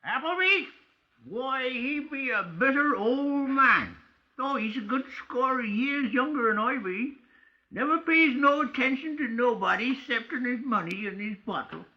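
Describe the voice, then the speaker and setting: An actor playing a very old, cheeky village gossip with a rustic West Country accent in a radio drama. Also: male voice, very high-pitched, animated, measured pace. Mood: cheeky, gossipy. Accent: English West Country. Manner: rambling, rustic, chuckling. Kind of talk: 1950s radio drama acting. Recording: dull old radio recording.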